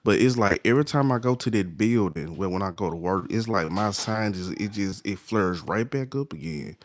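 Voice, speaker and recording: A man with a deep, low voice hosts a late-night livestream over a phone or webcam. He drifts between thoughts and greets viewers by name. His nose sounds a little stopped up. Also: lively intonation, moderate pace, slight background noise. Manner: laid-back, talks to viewers by name, trails off. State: stuffy nose. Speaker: livestream host.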